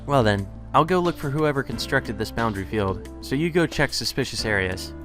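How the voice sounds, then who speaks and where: A man voicing a brisk, teasing, bossy schoolgirl in a visual novel. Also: mid-range voice, animated, soft background music, lightly acted.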